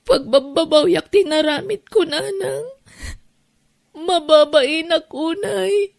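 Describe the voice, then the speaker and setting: A woman with an Ilocano accent playing a remorseful wife who pleads tearfully and haltingly in an audio drama. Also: very high-pitched, gravelly, darkish tone, no echo, clean studio sound, full character voice. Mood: sorrowful, ashamed.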